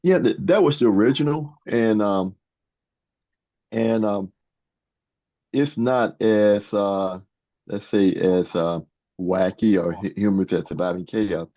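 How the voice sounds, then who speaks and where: An older man in his sixties or beyond, answering interview questions as a guest author in a halting way, often repeating words. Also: lowish voice, warm tone. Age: 60s or older. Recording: dull, muffled lo-fi sound.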